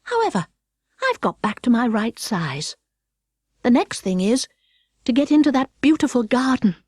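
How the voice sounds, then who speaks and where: A woman's voice: fairly high pitch, big pitch swings, moderate pace, darkish tone, dry recording, clean studio sound. A woman voicing an earnest, polite and puzzled little girl in a storybook, speaking properly. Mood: musing. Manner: proper, earnest, hesitant when challenged.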